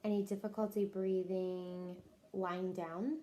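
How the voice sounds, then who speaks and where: A woman taking a health history, working briskly through a checklist of short clinical questions. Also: fairly low pitch, flat delivery, dark tone, slight background noise. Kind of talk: clinical question-and-answer interview.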